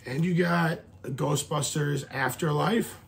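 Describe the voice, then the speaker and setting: A slightly hoarse man with a somewhat higher-pitched voice chats loosely through a show-and-tell vlog. He rambles, restarts and leans on fillers as he talks. The hoarseness from a lingering cold stays in the voice throughout. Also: takes it slowly, slight background noise. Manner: chatty, rambling, filler-heavy. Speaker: YouTube collector vlogger.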